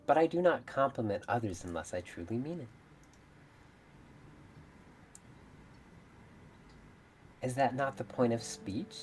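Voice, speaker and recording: A woman's voice: extremely low pitch, a little mellow. A woman voicing a proud, blunt, aloof mermaid in a fairytale visual novel, with formal, stately phrasing. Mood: earnest, proud. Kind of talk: visual novel character read-aloud.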